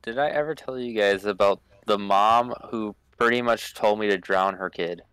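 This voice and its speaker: Man, lowish smooth voice, animated anecdote-teller on a podcast, dry close mic. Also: moderate pace, dry recording, low-quality recording. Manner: animated storyteller, acts out quotes, swears. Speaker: podcast co-host. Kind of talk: casual chat, storytelling.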